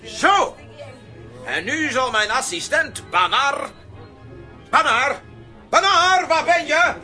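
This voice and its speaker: A villainous stage magician for a children's radio play, theatrical and excitable. His delivery swoops widely and takes its time, with music playing clearly behind him. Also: very high-pitched, slow pace, heavily acted. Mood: frantic showmanship. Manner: exaggerated, swooping, stammers when flustered.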